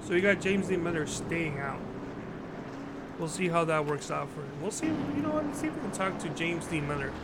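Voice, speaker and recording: A slightly high-voiced man calls a sim truck race live, stumbling over his words and fixing them as he goes, with hiccups breaking in. Loud engine noise roars constantly behind him. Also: expressive. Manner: halting, self-correcting, apologizes for stuttering. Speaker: race commentator. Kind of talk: live sim-racing play-by-play commentary.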